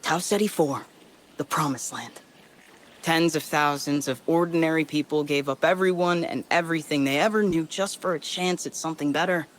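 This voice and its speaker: A man's voice: fairly high pitch, moderate pace, fairly bright tone, slight background noise, natural voice. A man playing a lonely, weary colonist survivor. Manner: weary, bitter, rambling confession. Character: lonely male colonist survivor recording a desperate log. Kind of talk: game audio log.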